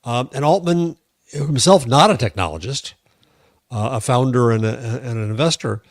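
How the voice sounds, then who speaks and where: Man, American accent, opinionated tech commentator, deliberate emphatic delivery, medium pitch, very dry close sound. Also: lively intonation, no echo. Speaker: podcast co-host and commentator.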